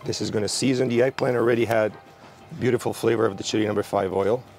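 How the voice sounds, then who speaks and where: A young man cooking outdoors at a barbecue, relaxed and explaining each step as he works. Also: on the low side, fast, soft background music. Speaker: cooking show chef. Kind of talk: cooking demonstration. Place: outdoor garden by a barbecue grill.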